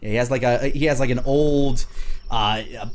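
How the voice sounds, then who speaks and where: A man's voice: mid-range voice, fairly even intonation, moderate pace. A man narrating an improvised tabletop fantasy game as game master, in a casual, colloquial manner.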